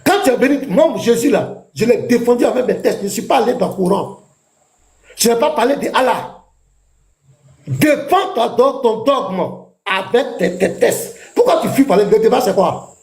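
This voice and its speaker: A man's voice: very high-pitched, animated, normal speed, creaky. A man, a pastor in a heated live debate, taunting his opponent with combative, repeated jabs. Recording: echoey room.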